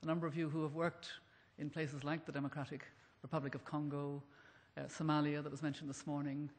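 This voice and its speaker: A man speaking gravely on a conference panel, pausing with frequent hesitations. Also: on the high side, flat delivery. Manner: grave, hesitant, many fillers. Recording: echoey conference hall.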